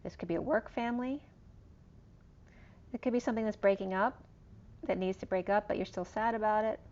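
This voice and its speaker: A woman giving a casual tarot reading, rambling through cards and star signs, her voice going hoarse. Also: mid-range voice, a little fast, a little mellow. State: losing her voice. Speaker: tarot reader. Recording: dull lo-fi recording.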